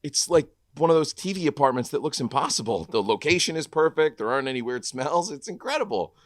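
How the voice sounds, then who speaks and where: Man playing an earnest young hero, animated high voice. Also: quick pace, close to an everyday voice. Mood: excited. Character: earnest, awkward young male superhero in civilian life. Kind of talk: scripted dialogue at a table read.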